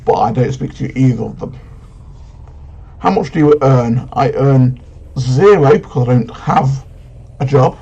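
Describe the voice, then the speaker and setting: Guy, late 30s, mellow frank delivery, lots of ums, dull lo-fi sound with faint music. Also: mid-range voice, unhurried, creaky, long pauses, slightly rough. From England. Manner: frank, hesitant, many ums. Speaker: vlogger. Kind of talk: casual Q&A vlog.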